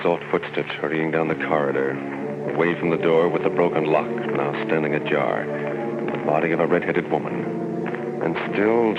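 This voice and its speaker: An actor playing a doom-laden horror show narrator, with portentous 1940s radio delivery and drawn-out emphasis. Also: male voice, low voice. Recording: muffled old radio recording with music. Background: loud dramatic music.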